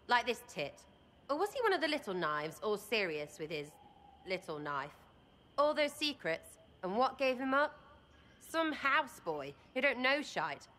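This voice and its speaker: A woman's voice: fairly high pitch, sharp tone, full character voice. A woman voicing a brash, irreverent young rogue in a video game, with an English accent and a blunt, slangy, rambling manner.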